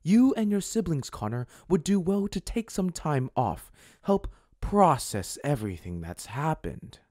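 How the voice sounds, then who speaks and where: A man playing a scheming, smooth-talking werewolf pack rival in a roleplay drama, measured and condescending. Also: on the high side, very animated, mellow, no room sound, studio quality. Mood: smugly reasonable.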